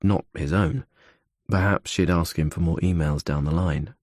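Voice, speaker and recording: A man's voice: low voice, normal speed, a little mellow, no room sound, studio quality. A man narrating a suspense audiobook in the third person.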